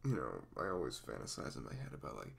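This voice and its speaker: A man acts out a sweet, nervous boyfriend in an intimate ASMR roleplay. His voice is very low and gravelly, swinging widely in pitch as he stammers and apologizes tenderly. A little noise sits behind him. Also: big pitch swings, full character voice. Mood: sheepish, nervous. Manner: stammering, halting, apologetic, breaking into soft nervous murmurs.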